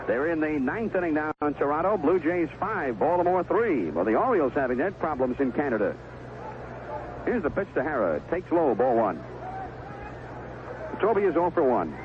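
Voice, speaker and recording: A man's voice: on the high side, big pitch swings, normal speed, lo-fi sound, background noise. A man calling a baseball game play by play on a 1970s radio broadcast. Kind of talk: live radio baseball commentary.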